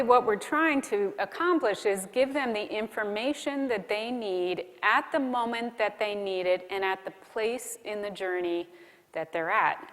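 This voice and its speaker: A woman speaks as a keynote presenter, with a mid-pitched voice that carries through a big room with long reverb. She explains her points deliberately and sets off key phrases with emphatic pauses. The delivery is that of a prepared conference talk. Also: a bit bright. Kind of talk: conference presentation on marketing to a live audience. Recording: reverberant hall sound.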